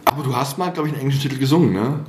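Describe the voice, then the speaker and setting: A man's voice: mid-range voice, rapid-fire, background noise. A man interviewing a guest, asking friendly probing questions that often end in a tag question. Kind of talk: casual interview. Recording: echoey room.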